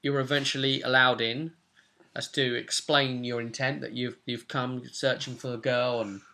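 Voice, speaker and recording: A man's voice: medium pitch, level tone, moderate pace, bright, forceful tone. A young man recapping a tabletop role-playing session as game master in casual chat. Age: young adult. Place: small room at home, indoors.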